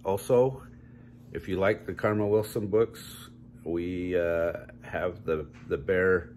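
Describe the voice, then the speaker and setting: Older grandpa guy reading a picture book, very slow n low, noisy home recording. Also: fairly even intonation, darkish tone. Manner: measured, drawn-out storybook phrasing. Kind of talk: children's read-aloud storytelling. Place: small home room, indoors.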